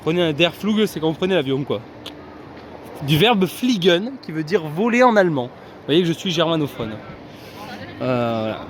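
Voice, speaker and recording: Chatty high-voiced man vlogging outdoors. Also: sing-song, moderate pace, long pauses, slight background noise. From France. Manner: chatty, explaining to viewers, many fillers. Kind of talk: casual vlog commentary. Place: outdoors in a busy theme park.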